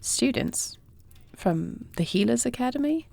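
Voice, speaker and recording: Woman doing a headstrong royal dragon-shifter lady, kinda low w fry in it, very proper wording, audio roleplay, super dry close sound. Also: lively intonation, unhurried, warm tone, dry recording, heavily acted. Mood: warm, attentive. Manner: formal, regal phrasing. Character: dragon-shifter princess, newly wed, dutiful, proud, headstrong warrior. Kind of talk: scripted audio roleplay acting, one side of a dialogue.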